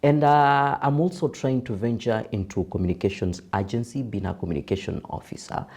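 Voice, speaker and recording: A young Kenyan man with a deep voice, a broadcaster and voiceover artist chatting as a podcast guest, laughing easily and mixing in Swahili. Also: a little fast, a little mellow. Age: young adult. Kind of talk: casual interview chat.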